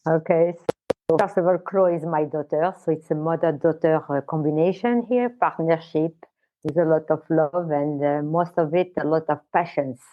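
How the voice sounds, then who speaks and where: An older woman from Morocco, a guest on an interview podcast, talking in a halting way with many fillers. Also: sits low. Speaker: guest, wellness center founder. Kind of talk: casual interview talk. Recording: tinny phone-like line.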